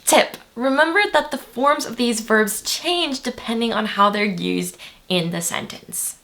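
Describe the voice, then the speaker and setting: A woman's voice: a little high, a little slow, sharp tone. A woman presenting a chatty, playful video lesson, speaking straight to her viewers. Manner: chatty, playful, addresses viewers as "you guys". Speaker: language teacher.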